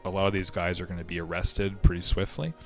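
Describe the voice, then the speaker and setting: A very deep-voiced man talks through history in a relaxed, conversational way. He hesitates often, restarting phrases and filling gaps with ums and uhs. Faint music sits quietly behind his voice. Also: fast talker. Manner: conversational, many fillers and restarts. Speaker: history podcast host. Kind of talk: casual explanatory history talk.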